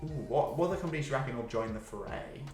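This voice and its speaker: A man's voice: medium pitch, animated, rapid-fire. Man in casual chat, echoey room. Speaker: podcast co-host. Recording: echoey room, music underneath.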